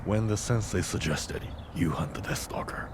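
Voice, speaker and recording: An actor playing a proud, wounded samurai general burdened by guilt, speaking earnestly and dramatically in a video game cutscene. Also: male voice, low-pitched, normal speed, lightly acted. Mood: bitter, confessional. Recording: clear background noise.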